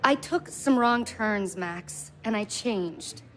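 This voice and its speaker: An actress playing a fragile, needy young woman in an audio drama, earnest and halting. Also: medium pitch, animated, a bit bright, faint music behind. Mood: earnest, pleading.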